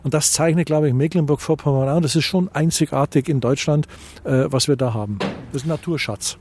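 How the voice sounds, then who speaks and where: A man's voice: a little high, fairly quick, noisy background. A middle-aged man from Bavaria with a Bavarian accent, answering interview questions as a tourism association president, hesitant with many fillers and restarts.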